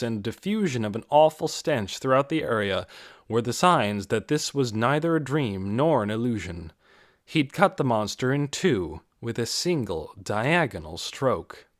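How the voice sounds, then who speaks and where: A man's voice: mid-range voice, no echo, clean studio sound. A man reading a fantasy audiobook as dramatic scripted narration.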